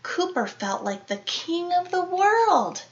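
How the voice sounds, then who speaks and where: A woman reading a children's picture book aloud like an elementary teacher, animated, with dramatic emphasis and playful sound-effect words. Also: extremely high pitch, takes it slowly, dull audio.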